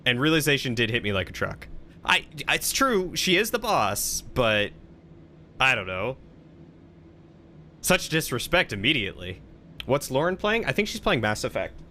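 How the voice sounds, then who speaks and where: Bright-voiced man streaming games, chatty. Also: mid-range voice, very animated, moderate pace, long pauses, slight background noise. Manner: chatty, informal, playful. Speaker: live game streamer. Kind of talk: casual gameplay commentary and chat.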